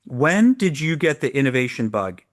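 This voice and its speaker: A man with an American accent speaks as a podcast host. His pitch is average for a man. His delivery is informal and effusive, in the style of a relaxed conversational interview. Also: moderate pace.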